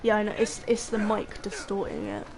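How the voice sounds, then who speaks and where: A woman streamer with a low voice, chatting casually and rambling to her chat over gameplay through a distorting mic. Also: big pitch swings, moderate pace, music in the background.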